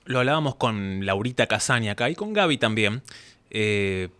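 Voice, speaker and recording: A man's voice: fairly low pitch, expressive, moderate pace, pushed and bright, clear, resonant tone. A man with an Argentine accent hosting a casual interview in an informal, discursive way, often acting out bits of dialogue. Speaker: interview host.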